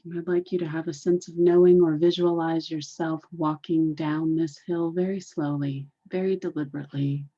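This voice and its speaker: A low-pitched woman guides a meditation with a very unhurried, soothing delivery. Her voice is dark and mellow, with soft, husky edges. She repeats phrases deliberately, and the recording is slightly dull. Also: steady tone.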